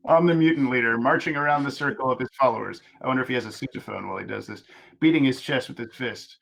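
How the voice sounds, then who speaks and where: Male narrator for a table read, mid-pitched, delivering scripted action lines and stage directions. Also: fast, vocal fry, a bit bright.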